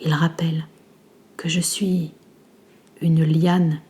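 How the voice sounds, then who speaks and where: A woman recites literary verse slowly and with care. Her voice is soft and mellow, hushed and breathy, often sinking toward a whisper, with measured pauses between phrases. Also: deep voice, flat delivery. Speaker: poet reciting an ecological poem. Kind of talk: slow poetry recitation in literary French.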